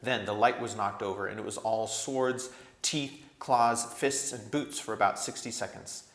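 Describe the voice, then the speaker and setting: A man narrating a storybook aloud in an expressive, scripted read-aloud style. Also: on the low side, normal speed. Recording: echoey room.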